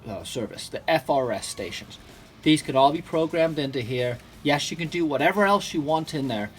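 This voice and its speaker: A man's voice: medium pitch, fairly bright tone. A man hosting an informal instructional video, speaking emphatically with playful turns of phrase. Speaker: host of a gear and vehicle channel.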